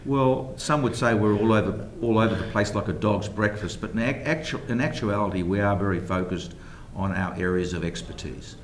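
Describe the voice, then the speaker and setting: Deep-voiced New man, echoey room. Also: a little fast, hardly any pauses, slight background noise. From New Zealand. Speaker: guest, private equity investor. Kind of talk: radio interview at a banquet. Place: banquet hall.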